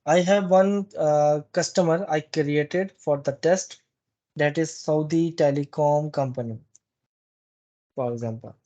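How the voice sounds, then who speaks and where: A man giving a live software training walkthrough in a halting, instructive way, often repeating phrases. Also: on the high side. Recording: dull, muffled lo-fi meeting recording.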